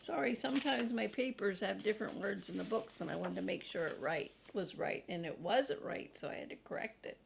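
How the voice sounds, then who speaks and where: An older woman reading a children's storybook aloud in an expressive, grandmotherly way, drawing out key words and adding chatty asides for young listeners. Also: medium pitch, a little fast, vocal fry, few pauses, a little hiss or hum.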